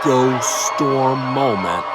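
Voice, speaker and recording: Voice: medium pitch, drawn-out words, over a noisy crowd, heavy background noise. A video host giving casual live reaction commentary with exclamatory interjections. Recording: loud music behind the voice.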